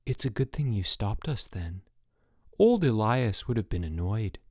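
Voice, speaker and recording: A man voicing a calm, poker-faced teenage boy camper who speaks in a deadpan way, recorded dry and close. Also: on the low side, a little slow, no room sound.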